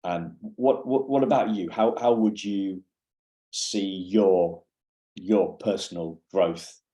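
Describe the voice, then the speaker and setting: Halting male podcast host with a mellow voice. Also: low voice. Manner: halting, hesitant, repeats words while forming questions. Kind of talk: conversational interview.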